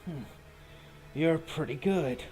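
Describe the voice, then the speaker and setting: Man acting a slow, taunting armored warrior boss. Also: a little high, warm tone, soft background music. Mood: grudging respect. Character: proud male armored warrior boss, grudgingly respectful in defeat.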